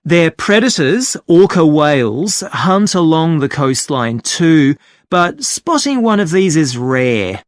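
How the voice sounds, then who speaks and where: A man playing a cheerful boat tour guide, giving a scripted talk to passengers in a clear, friendly delivery. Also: fairly high pitch, big pitch swings, slow pace, no room sound, studio quality. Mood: informative, upbeat. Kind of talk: scripted exam listening talk.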